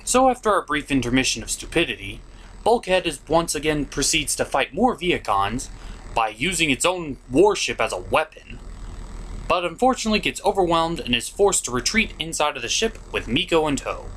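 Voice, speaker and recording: A man's voice: on the high side, very animated, normal speed, fairly bright tone, poor audio. A man narrating a scripted review in a sarcastic, mocking, sometimes profane manner. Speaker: cartoon reviewer, host.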